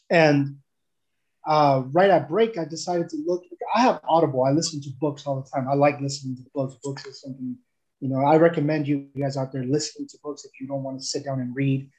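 A man's voice: mid-range voice, a little mellow, poor audio. A young man from El Salvador giving a casual, rambling testimonial, full of fillers and restarts.

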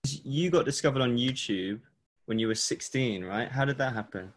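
A man interviewing a guest, asking questions in a relaxed, curious way. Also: medium pitch, moderate pace, slightly rough. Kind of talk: casual interview chat.

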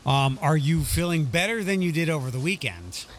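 Man, higher voice, lively pitch, teasing jokes, casual chat. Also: moderate pace, dry recording.

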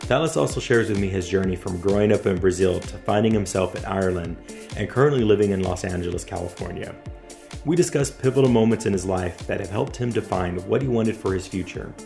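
A man hosts a podcast in a friendly, unhurried way, pausing on fillers and restarting his sentences. His voice sits low and has a gravelly fry. Faint music plays under him. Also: mellow. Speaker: podcast host. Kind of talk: casual interview chat.

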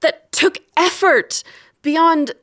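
An actress playing a wronged, weary wife in an audio drama, speaking in a deliberate, accusing way, grave and resolute. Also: female voice, very high voice, fairly bright tone, heavily acted. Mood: reproachful, weary.

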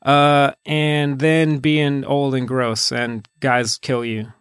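A man's voice: medium pitch, flat delivery, slow, a bit bright, clean tone, no room sound, studio quality. A man co-hosting a podcast, discussing a film casually in a hesitant, droll way. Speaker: podcast co-host.

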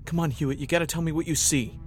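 A man's voice: a little high, fairly even intonation, fast talker, soft background music, close to an everyday voice. A man acting a wary, pragmatic military officer stranded on a hostile ship in a science fiction audio drama, terse and dry as he talks to himself. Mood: commanding, urgent. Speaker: lead actor in audio drama.

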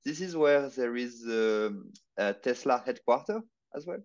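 Male guest, hesitant, mid-pitched, muffled lo-fi remote audio. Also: expressive, a little slow. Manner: hesitant, searching for words. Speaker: podcast guest, marketing consultant. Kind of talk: casual interview chat.

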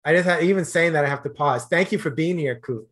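A man's voice: fairly high pitch, quick pace, a bit bright. A man hosting a podcast, asking questions in an earnest, hesitant way with many fillers. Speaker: podcast host. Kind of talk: casual interview questions.

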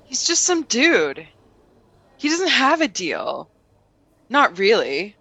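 A woman's voice: a little high, sing-song, slow, sharp tone, slightly rough, exaggerated voice. A woman playing an envious, disgruntled student who craves heroism, dry and exasperated in an improvised comedy sketch.

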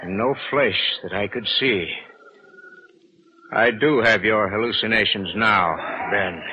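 Low-voiced, solemn town official played by a man. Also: muffled recording, lightly acted. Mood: awed, uneasy. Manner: measured, solemn. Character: grave, deliberate male town supervisor. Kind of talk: 1940s radio drama acting. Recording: band-limited, hissy old radio recording.

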